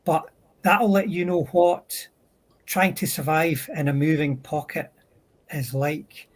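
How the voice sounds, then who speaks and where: A man with a husky voice chatting casually as a guest on a hobby livestream. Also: a little high, takes it slowly.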